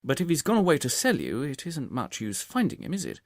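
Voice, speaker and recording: Man reading as a precise, animated detective. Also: on the high side, no room sound, studio quality, pitched above the performer's own voice. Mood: brisk, courteous. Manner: measured, precise, explanatory. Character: calm, shrewd Victorian male detective, politely methodical.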